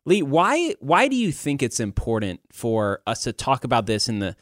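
A man's voice: mid-range voice, wildly swooping pitch, moderate pace, fairly bright tone, no room sound. Casual male podcast host, dry close sound. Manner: informal, buddy-like address to male listeners. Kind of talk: casual conversational podcast talk.

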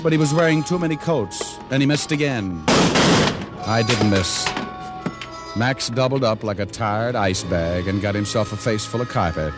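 Guy acting a cynical tough radio noir detective, deadpan wisecracks, old recording w music under it. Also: medium pitch, close to an everyday voice. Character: tough wisecracking male private detective, cynical, hard-boiled. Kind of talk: 1940s radio acting, first-person noir narration.

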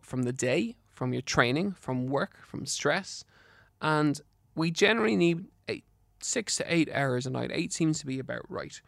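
A man's voice: on the high side, gravelly, fairly bright tone, no echo, studio quality. An Irish man with a slight lisp hosting a health podcast solo, giving advice in an earnest, encouraging, instructive way. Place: studio.

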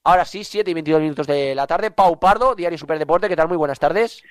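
Brief: Valencian man, radio sports host, stuffy with a cold, slightly high voice, dry close mic, chatty and repetitive. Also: fast talker, dry recording. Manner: chatty, repeats himself for emphasis. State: congested with a cough. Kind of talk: sports radio talk show.

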